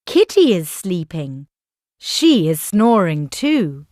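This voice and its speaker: Very slow, animated woman storyteller. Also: fairly low pitch. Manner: expressive, exclamatory, addressing young listeners. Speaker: children's storyteller.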